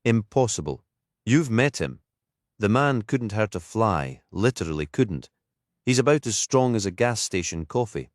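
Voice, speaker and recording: Man reading as earnest local policeman, raised pitch. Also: very animated, moderate pace, no room sound, studio quality. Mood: disbelieving. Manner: eager to help, protective. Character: earnest, loyal local male police colleague.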